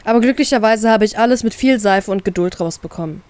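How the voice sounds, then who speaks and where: A woman reading a first-person story aloud as an expressive narrator. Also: medium pitch, fast talker, no room sound.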